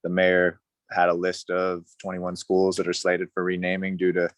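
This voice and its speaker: A very deep-voiced man from El Salvador introduces his school district role over a video call, earnest and friendly as he thinks aloud. The sound is dry and close, with no room around it. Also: monotone, normal speed, dry recording. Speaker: school district family engagement staffer. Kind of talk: introducing himself at a virtual community meeting.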